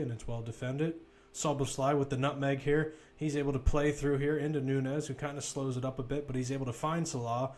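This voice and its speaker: A man's voice: medium pitch, a little mellow, slight background noise. A man giving casual, conversational live play-by-play commentary on a football match, with wry asides.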